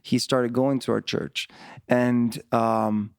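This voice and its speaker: A middle-aged Chilean man, Spanish his first language, telling his story in a casual interview, halting with fillers and restarts. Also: mid-range voice, level tone, gravelly, smooth, no echo, studio quality. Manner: halting, many fillers and restarts, frequent laughs. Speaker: worship pastor, interview guest.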